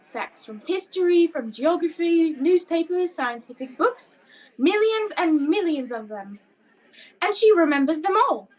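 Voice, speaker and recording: A woman playing a music-hall master of ceremonies in a radio play, addressing the crowd with grand, declamatory patter. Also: high-pitched.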